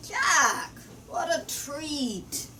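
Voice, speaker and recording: A woman's voice: high voice, sing-song, very slow, bright, forceful tone, voice pushed up, heavily acted. A woman voicing a kindly, exuberant old male bard in a storybook, with archaic, teasing exclamations. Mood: delighted. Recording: distant mic in noisy room.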